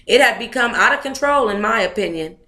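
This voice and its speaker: Bright-voiced woman chatting in an echoey room. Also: fairly high pitch, lively intonation, fairly quick. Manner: playful, slangy, chatty, talks to viewers. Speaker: livestream host reading their own book aloud. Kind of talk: casual livestream chat with read-aloud.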